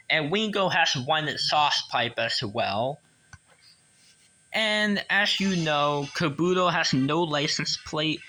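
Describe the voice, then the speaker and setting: A man with a fairly high, very bright voice reviews a collectible toy casually. He talks slowly and haltingly, circling back over his words with enthusiasm. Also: rough recording.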